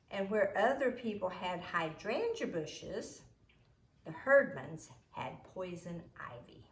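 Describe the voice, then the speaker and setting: A woman reading a story aloud expressively, with deliberate, emphatic phrasing. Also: on the low side, echoey room.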